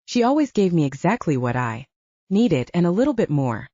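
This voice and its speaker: A woman narrating a first-person audiobook in a lively storytelling manner, lightly voicing the dialogue. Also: fairly low pitch, moderate pace, no room sound, lo-fi sound.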